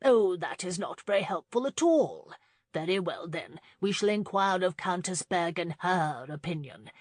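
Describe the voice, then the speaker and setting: A woman voicing an imperious, impatient elderly lady who briskly decrees things in an audiobook novel. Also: lowish voice, animated, no room sound. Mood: impatient, decreeing.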